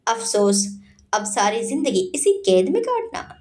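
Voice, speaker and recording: A woman's voice: on the high side, big pitch swings, measured pace, darkish tone. A woman voicing a confident, assured young man, a devoted son in an audio story. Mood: mocking.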